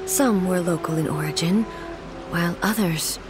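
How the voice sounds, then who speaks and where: A woman playing a regal, immortal shogun in a video game, formal and measured, grieving yet composed. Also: lowish voice, over music, natural voice. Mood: grave.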